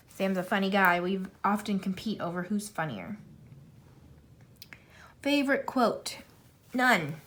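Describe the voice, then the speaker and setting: A woman in her thirties talks casually to camera in a slightly low voice. She rambles, fills pauses with "like" and "I don't know," and makes fun of herself as she goes. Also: a bit bright. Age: 30s. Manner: rambling, self-deprecating, chatty with many fillers. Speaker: YouTuber answering a tag questionnaire. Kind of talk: casual chat while coloring.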